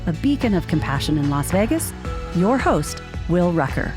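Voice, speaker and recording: Woman announcer, lowish voice, lively animated delivery, music clearly behind. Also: normal speed. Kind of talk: scripted podcast intro over music.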